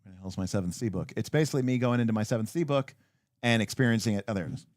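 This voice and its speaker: A man's voice: on the low side, very fast, darkish tone, dry recording. A man hosting a livestream, chatting casually and unscripted, thinking aloud in a rambling, emphatic, opinionated way as he responds to viewers. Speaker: livestream host. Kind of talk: reading and answering viewer comments.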